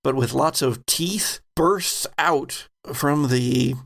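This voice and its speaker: Gravelly-edged male game master. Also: medium pitch, slow, a bit bright. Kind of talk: narrating a horror tabletop roleplaying game.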